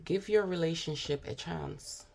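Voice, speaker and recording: A hoarse woman with a cold, giving a spiritual tarot reading in an unhurried, musing way with frequent pauses and fillers. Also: sits low, warm tone. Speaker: tarot reader.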